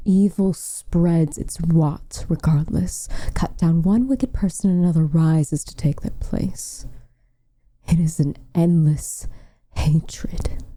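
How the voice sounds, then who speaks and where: A woman plays a protective, proud witch with a lowish and very dark, soft voice. She speaks slowly and formally, with dramatic weight, and often sinks into a breathy whisper as in an intimate ASMR roleplay. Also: no room sound. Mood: bitter, weary. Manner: formal, measured, dramatic delivery. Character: protective, proud, frustrated witch, tender toward her lover.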